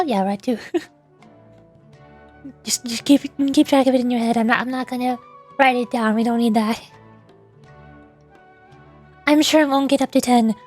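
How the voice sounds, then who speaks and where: A woman livestreamer chatting casually in a playful, sleepy manner. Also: high-pitched. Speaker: VTuber streamer voice-acting game dialogue.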